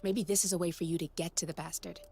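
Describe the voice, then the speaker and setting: A woman's voice: fairly low pitch, fairly even intonation, quick pace, creaky. An actress playing a streetwise, defiant female hacker in a video game, with a dry, sardonic delivery. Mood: wry, informative. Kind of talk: video game cutscene dialogue and mission briefing. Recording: loud music under the voice.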